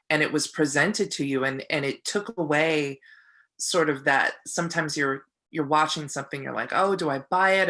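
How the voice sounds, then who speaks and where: An actor speaking earnestly and thoughtfully in a reflective interview, building thoughts in measured phrases. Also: medium pitch, bright, forceful tone. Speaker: stage actor.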